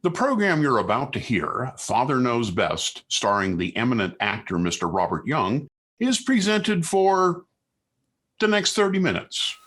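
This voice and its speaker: A man's voice: mid-range voice, expressive, measured pace, a bit bright. A man announcing and narrating a 1940s radio show in a formal, theatrical way. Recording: 1940s radio recording with music.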